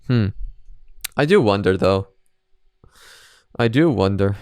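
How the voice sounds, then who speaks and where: A man's voice: lowish voice, lively intonation, slow pace, a little mellow, clear, resonant tone, no echo, clean studio sound. A man giving casual, off-the-cuff reaction commentary, rambling and hesitant as he thinks aloud. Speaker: host of a reaction video.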